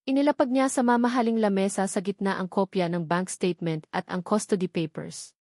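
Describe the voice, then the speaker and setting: A woman's voice: medium pitch, steady tone, fairly quick, a little mellow, dry recording. Woman narrating a drama, dry sound. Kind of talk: scripted dramatic story narration.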